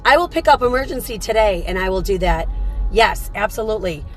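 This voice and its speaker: A woman hosting a casual morning livestream, chatty and upbeat, her voice slightly raspy from a cold. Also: mid-range voice, animated, fairly quick. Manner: upbeat, chatty, greets viewers by name. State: fighting off a cold. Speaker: livestream host.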